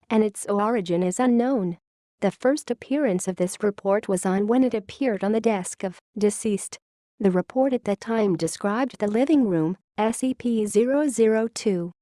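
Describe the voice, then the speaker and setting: A woman reading scripted narration of a fictional classified report in a formal, clinical, measured manner. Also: medium pitch, warm tone, dry recording, clean studio sound.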